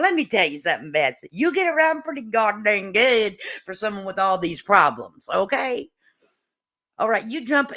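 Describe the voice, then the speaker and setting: A woman's voice: medium pitch, sharp tone. A woman doing casual reaction commentary as a channel host, with a blunt, mocking, folksy and salty way of talking.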